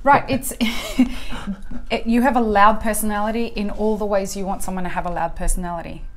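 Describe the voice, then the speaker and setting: A woman's voice: medium pitch, fairly even intonation, a little fast, slight background noise. A woman hosting a podcast, chatting casually in a teasing way and swearing now and then. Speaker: podcast host.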